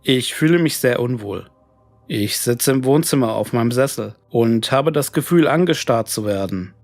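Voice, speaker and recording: A man narrates a scripted horror tale in a measured way. His voice has a gravelly creak and is recorded dry and close, with faint music underneath. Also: medium pitch, lively intonation, a little mellow, dry recording. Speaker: narrator reading horror stories. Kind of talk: scripted creepypasta audiobook narration of emails and diary entries.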